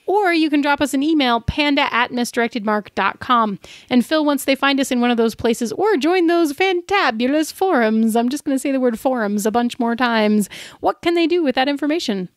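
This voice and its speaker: A woman's voice: sits high, no echo, clean studio sound. A woman co-hosting a podcast in a casual, playful and informal way. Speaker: podcast co-host.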